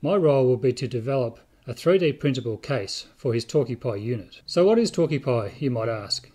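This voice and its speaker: A man's voice: medium pitch, lively intonation, a little fast, dark tone. A man, presenting scripted narration as the host of a video. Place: indoor room with bookshelves.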